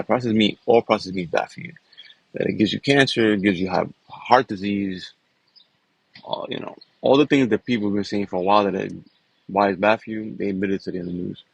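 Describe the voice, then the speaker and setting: A man's voice: low-pitched, vocal fry, slightly rough, no echo, rough recording. A man, talking in a loose, casual way and often tagging his sentences with "man" and "you know.". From New York City. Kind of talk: casual personal vlog talk.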